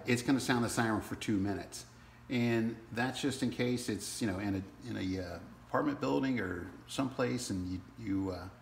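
A man giving a casual, halting step-by-step walkthrough as a product demonstrator in a small indoor room. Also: lowish voice, creaky. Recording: roomy sound with faint background noise.

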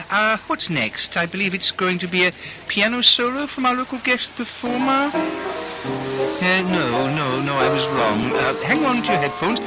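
An actor in a radio play playing a mischievous, rambling 1920s English radio engineer at the microphone, theatrical and playfully hesitant. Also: male voice, high-pitched, rough recording. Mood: mock solemn.

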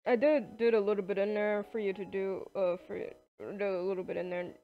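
A woman playing an easygoing, affectionate businessman in love, talking casually and haltingly in an improvised game roleplay. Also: medium pitch, steady tone, lightly acted. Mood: weary. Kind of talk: improvised Minecraft romance roleplay. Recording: dull, lo-fi microphone.

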